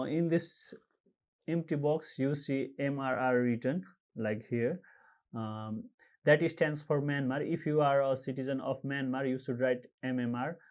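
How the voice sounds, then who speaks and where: A man from Nepal narrates a screen-recorded tutorial, moving at an unhurried, deliberate pace. He fills gaps with frequent uh and um and reads letters and numbers out one by one. His voice sits at an ordinary middle pitch, close to a desktop mic. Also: darkish tone. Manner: halting, frequent uh and um fillers, reads out codes slowly. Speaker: tutorial presenter. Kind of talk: screen-recorded how-to walkthrough.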